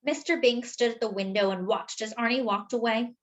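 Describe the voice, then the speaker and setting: A woman's voice: on the high side, fairly quick. A woman storyteller reading a picture book aloud for children, with explanatory asides to young listeners.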